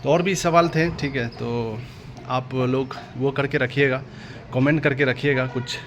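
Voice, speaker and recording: Indian male online host, casual and repetitive, limited pitch movement, faint noise behind. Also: steady tone, measured pace. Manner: repetitive verbal tags seeking agreement. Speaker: exam-update YouTube host. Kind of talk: casual direct address to viewers.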